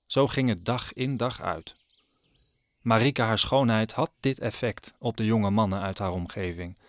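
Lower-pitched male story narrator. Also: a little slow, dry recording.

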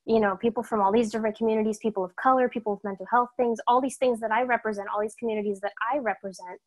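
A woman's voice: a little high, very fast. A young woman from Massachusetts, introducing herself in an upbeat, chatty way over a laptop video call. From Massachusetts, USA. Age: young adult. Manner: upbeat, energetic, chatty with fillers. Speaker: guest performer. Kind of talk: casual self-introduction.

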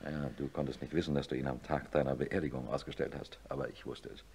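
A deep, low voice belongs to this middle-aged surgeon in a radio play. He is blunt and measured, an old friend laying out hard facts soberly and insistently. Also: steady tone, creaky, darkish tone, slight background noise. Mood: subdued, grave. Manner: measured, matter-of-fact, insistent. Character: sober male neurosurgeon, blunt old friend, narrating his story. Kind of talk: German radio play acting and narration.

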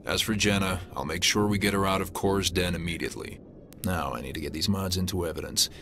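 A gravelly alien detective. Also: male voice, low voice, fast, slight background noise. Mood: pleased. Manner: blunt, businesslike. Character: gruff male alien detective, tough and pragmatic. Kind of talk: video game cutscene acting. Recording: game audio with loud score.

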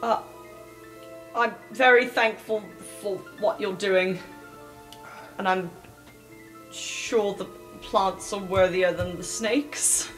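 Woman, game player, improvised roleplay, unhurried pace, music behind. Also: medium pitch, fairly even intonation, a bit bright, rough recording. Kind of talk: improvised in-character game dialogue. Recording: music bed under the voice.

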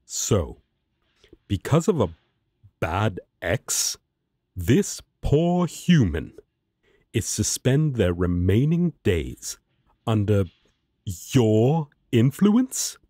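Man acting a righteous angel, low and husky. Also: big pitch swings, drawn-out words, pauses often, mellow, no room sound, studio quality, full character voice. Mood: stern, suspicious. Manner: earnest, stern, dramatic. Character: righteous, protective, indignant male angel. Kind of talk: audio roleplay acting.

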